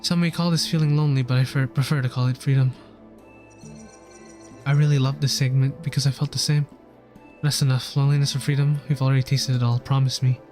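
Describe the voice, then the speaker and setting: A man's voice: mid-range voice, fairly even intonation, brisk, a little mellow. A man streaming a game, reading its story text aloud earnestly and now and then stumbling over words. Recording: game music behind the voice. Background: game music.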